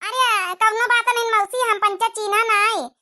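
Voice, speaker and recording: A woman's voice: very high voice, fairly even intonation, measured pace, pushed and bright, exaggerated voice. A woman voicing a chatty, placating young village daughter-in-law with an Awadhi accent in a comic cartoon. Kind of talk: exaggerated cartoon comedy dialogue.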